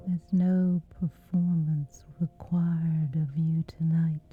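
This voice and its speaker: A woman guides a sleep meditation in a soft, low whisper, lingering unhurriedly over every phrase. Her voice comes through thin and narrow, with gentle music playing faintly underneath. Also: flat delivery. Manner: slow gentle soothing delivery.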